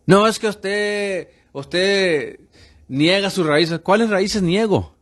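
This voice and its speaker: A middle-aged pastor lectures his radio audience in an opinionated monologue. His voice sits a bit higher than most men's. He is insistent and preachy and keeps calling the listener "brother". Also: takes it slowly, fairly bright tone. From United States. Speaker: pastor and radio show host.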